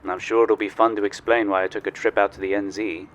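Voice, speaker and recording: A man's voice: on the low side, little pitch movement, fast, lightly acted. A man playing a genial, wry researcher in a sci-fi audio drama, speaking politely and a little formally, with playful touches. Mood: obliging, playful. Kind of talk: audio drama acting, conversational.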